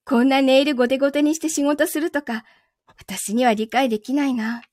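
A woman playing a possessive lover in a voice drama, speaking gently and calmly with quiet menace. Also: high voice, dry recording, clean studio sound, lightly acted. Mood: gently menacing. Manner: soft, gentle, unhurried delivery without shouting. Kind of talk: Japanese voice drama acting.

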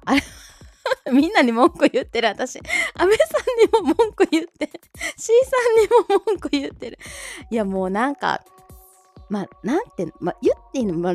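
A woman hosting a casual livestream, chatting and making announcements in a bubbly, giggly manner while reacting to listeners. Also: mid-range voice. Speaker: host of a short audio livestream, amateur voice-drama club member. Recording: soft music bed under voice. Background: soft background music.

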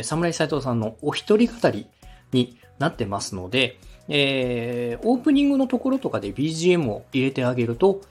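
Husky, animated man giving podcast advice. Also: medium pitch. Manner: polite, encouraging, methodical explaining. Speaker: podcast host and radio director giving feedback. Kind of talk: structured advice on podcast production.

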